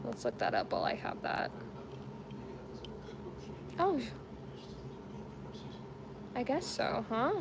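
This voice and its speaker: A woman's voice: fairly high pitch, huge pitch swings, slow pace, pauses often, background noise. A woman around thirty chatting casually on a home crochet stream, rambling and laughing easily as she reads comments. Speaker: crochet livestream host. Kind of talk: casual chat while crocheting, reading comments.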